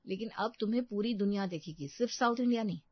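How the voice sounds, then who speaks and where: A woman's voice: medium pitch, quick pace, no echo, lightly acted. A woman voicing a chatty, ambitious young bride who vlogs to camera in a cartoon story. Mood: persuasive. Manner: bubbly, talking to camera. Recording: dull dry recording.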